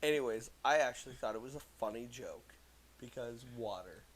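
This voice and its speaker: A man's voice: mid-range voice, lively intonation, normal speed. A man in casual comedic podcast chat, speaking bluntly with slang and swearing. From United States.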